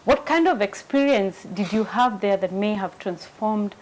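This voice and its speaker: A woman's voice: medium pitch, animated, unhurried, a little mellow, low-quality recording. Attentive woman hosting a health interview. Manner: attentive, frequent affirming murmurs. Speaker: host. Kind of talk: interviewing, health talk show.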